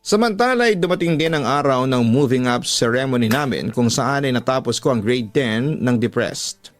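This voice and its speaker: A man's voice: mid-range voice, lively intonation, moderate pace. A man with a Filipino accent telling a love story as a radio drama storyteller, in an emotive, sentimental scripted style. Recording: music bed behind the voice.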